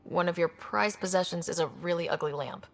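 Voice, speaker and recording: An actress playing a composed, supportive expedition leader in a video game, conversational and understated with a gravelly edge. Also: female voice, lowish voice, brisk, big-room sound, slight background noise. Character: composed, supportive young woman expedition leader with dry wit.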